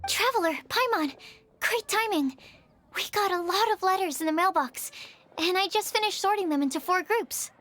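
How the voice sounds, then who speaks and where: Cheerful young helper: very high, eager, friendly. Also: female voice, lively intonation, normal speed, a bit bright, faint music behind. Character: upbeat young woman helper sorting letters. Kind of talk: video game voice acting.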